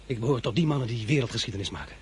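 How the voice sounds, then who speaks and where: A man voices a young, ambitious officer, sure of his great destiny. He speaks with calm, grand self-assurance, at a mid pitch, in a radio play. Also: racing, background noise, close to an everyday voice. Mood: confident. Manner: confident, grandiose. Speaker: actor. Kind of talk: radio drama acting.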